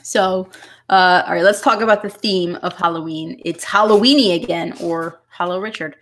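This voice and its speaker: A woman hosting a casual podcast, relaxed and a little hesitant, chuckling now and then. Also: lowish voice, normal speed. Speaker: podcast host.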